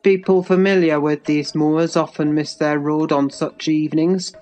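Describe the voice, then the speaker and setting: Woman putting on a low, dour moorland man. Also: fairly even intonation, moderate pace, warm tone, soft background music, lightly acted. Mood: stern, chiding. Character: gruff, dour moorland master of the house. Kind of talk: audiobook character voice.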